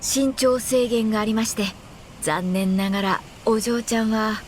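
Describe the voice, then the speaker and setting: A woman playing a high-spirited blond young amusement park attendant in a radio drama, with bouncy, eager customer-service patter. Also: unhurried, slight background noise, natural voice. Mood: apologetic, eager.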